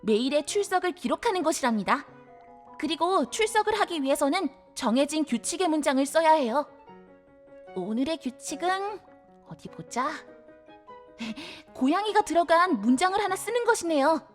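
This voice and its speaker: A woman acting in an audio drama as an excitable, kindly library director who guides a newcomer politely and with bubbly delight. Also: high-pitched, moderate pace, soft background music. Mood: bright, welcoming. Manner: bubbly, polite, gushing over small things.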